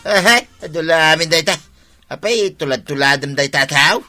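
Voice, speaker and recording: A man's voice: fairly high pitch, lively intonation, normal speed, pushed and bright, faint music behind. A man acting in a radio drama as a lazy, idle husband, grumbling and defensive as he whines. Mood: scornful.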